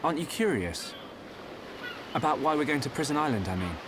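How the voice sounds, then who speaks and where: An actor playing an earnest, curious and friendly young man in a video game, gently inquisitive. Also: fairly high pitch, sing-song, a little fast, noisy background. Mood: curious, gentle. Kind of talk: video game cutscene acting.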